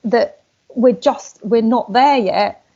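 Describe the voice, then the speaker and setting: A woman's voice: on the high side, slow, mellow, muffled recording. A woman hosting an interview, asking thoughtful questions with fillers and hesitations. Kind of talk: interview conversation.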